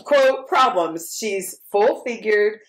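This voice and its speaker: A woman talks in a casual podcast chat, emphatic and animated, laughing easily between points. Her voice sits at an ordinary middle pitch. It rings a little in an echoey room. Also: takes it slowly, a little hiss or hum. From Louisiana. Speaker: podcast host.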